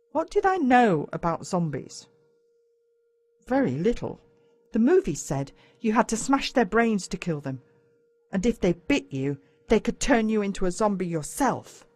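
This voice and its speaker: A woman with an Australian accent narrates a children's story in the first person, in a dramatic, expressive way. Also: medium pitch, moderate pace, no room sound, soft background music. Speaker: audiobook narrator.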